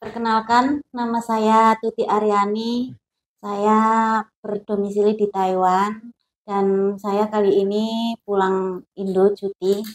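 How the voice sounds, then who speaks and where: A woman from East Java answering interview questions with short, plain replies and soft affirmations. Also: mid-range voice, level tone, takes it slowly, long pauses. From East Java, Indonesia. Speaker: guest, hypnosis alumna.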